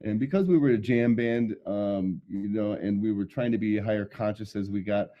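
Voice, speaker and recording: A middle-aged man from Michigan, telling his life story as an interview guest in a relaxed way, with many ums and laughs. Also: fairly low pitch, normal speed, dull audio. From Michigan, USA. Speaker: guest, sound healer.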